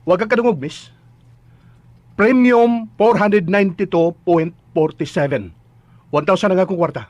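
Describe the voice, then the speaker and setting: A man's voice: high voice, normal speed, pauses often, a little mellow, muffled recording, close to an everyday voice. A Filipino-accented actor playing an arrogant, impatient, short-tempered man in a radio drama, grumbling and snapping. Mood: angry.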